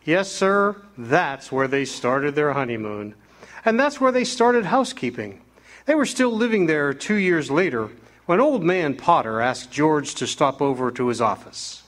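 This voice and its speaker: A man's voice: a little high, big pitch swings, unhurried, fairly bright tone. A man playing a kindly senior angel who narrates the story in an amateur stage radio drama, in a folksy storytelling manner.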